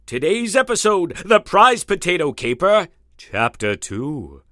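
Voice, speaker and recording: A man's voice: sits high, big pitch swings, a little slow, pushed and bright, no room sound, studio quality. A man narrating in a grandiose, theatrical old-time radio serial style. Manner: grandiose, dramatic. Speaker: announcer and narrator. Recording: music under the voice. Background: music.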